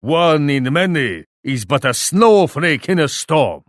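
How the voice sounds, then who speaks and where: An actor playing a proud, menacing shogun warlord in a video game, speaking in a haughty, formal, theatrical way. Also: male voice, a little high, very animated, slow pace, no echo, clean studio sound. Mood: smug, ominous. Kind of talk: video game character taunts.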